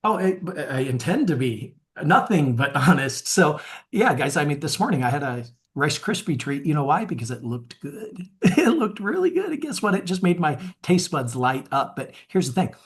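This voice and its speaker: A man's voice: on the high side, big pitch swings, moderate pace. Upbeat male coach leading a webinar. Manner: upbeat, asks viewers to rate themselves. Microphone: webinar mic.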